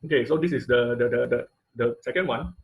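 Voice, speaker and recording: A man's voice: medium pitch, a little fast, creaky. A man teaching a live online coding tutorial as instructor, often stopping and rephrasing mid-sentence. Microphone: computer mic on an online class call.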